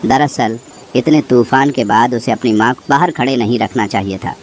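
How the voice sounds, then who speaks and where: A man's voice: mid-range voice, normal speed. A man reading a scripted horror story as its narrator, with insect and bird ambience behind him. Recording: clear background noise, nature ambience.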